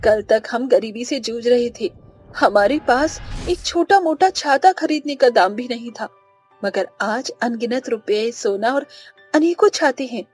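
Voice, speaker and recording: Woman playing an eager high-voiced village child. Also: expressive, unhurried, darkish tone, breathy edge. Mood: earnest. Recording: faint music behind the voice.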